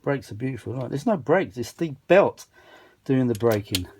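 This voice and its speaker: A man's voice: mid-range voice, animated, moderate pace, noisy background. A man talking casually and off the cuff as an enthusiastic hobbyist presenter, rambling informally while demonstrating a machine. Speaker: vintage audio hobbyist presenter. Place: indoors at a cluttered workbench.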